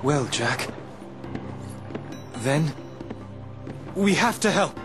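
A concerned, earnest young adventurer in a video game. His voice is a little high and sincere. Music plays clearly behind him. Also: animated, extremely slow.

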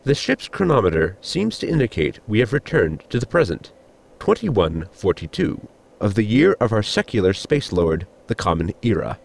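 Gravelly, pedantic starship officer, acted by a man. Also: on the low side, very animated, normal speed, heavily acted. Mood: dry, formal. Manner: formal, technical, precise wording. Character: pedantic, formal male science officer on a starship. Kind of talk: sci-fi sitcom audio drama acting.

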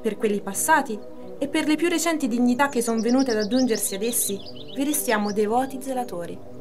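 High female voice of an actress, ambitious scheming noblewoman, theatrical radio drama, deliberate formal delivery, music underneath. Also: lightly acted. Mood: gracious, deferential. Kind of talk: Italian radio drama acting.

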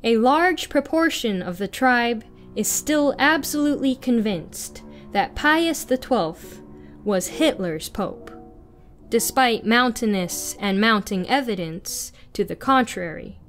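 A young woman reading a written article aloud as narration, in a deliberate, formal manner. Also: a little high, expressive. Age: young adult. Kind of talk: scripted read-aloud narration. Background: music.

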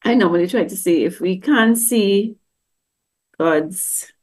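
A woman's voice: mid-range voice, slow pace, darkish tone, breathy edge. A middle-aged woman, teaching about grief through a webcam in a conversational, questioning way. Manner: conversational, asks many questions, acts out quoted voices. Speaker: grief counselor teaching at a church service. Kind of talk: teaching talk with slides. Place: indoor room. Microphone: webcam on a video call.

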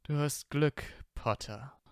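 A man's voice: fairly high pitch, slow pace, darkish tone, smooth, dry recording, clean studio sound. A man playing a haughty, teasing young wizard student in a fan audio drama. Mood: smug, teasing.

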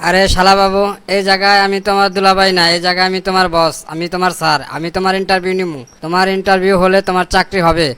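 A man's voice: high voice, flat delivery, racing, few pauses, sharp tone, clear, resonant tone, no echo. A man voicing a scheming, self-important corrupt boss in a comic cartoon, pompous and sly, with colloquial Bangladeshi speech. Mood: pompous, bossy. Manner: pompous, mock-formal, sly.

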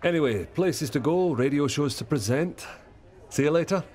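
A man's voice: fairly high pitch, expressive, normal speed, a little mellow, lightly acted. An actor playing an easy-going, helpful village man in a radio soap, casual in manner.

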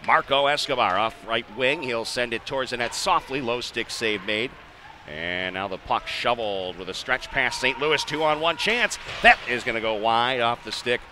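Bright-voiced American hockey play-by-play man. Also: mid-range voice. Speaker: play-by-play sports announcer. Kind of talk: live ice hockey commentary. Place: rink-side in an indoor ice arena. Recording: clear arena ambience noise behind the voice.

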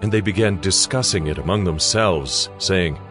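A man's voice: low-pitched, moderate pace. A man reading scripted narration in a dramatized scripture production. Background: background music.

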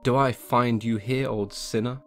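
A man voicing a determined hunter who calls out slowly and sternly. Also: medium pitch, fairly even intonation, faint music behind, lightly acted.

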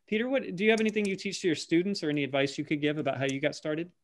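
A man's voice: fairly high pitch, fairly even intonation, racing. A man moderating a panel discussion over a video call, chatty and asking questions.

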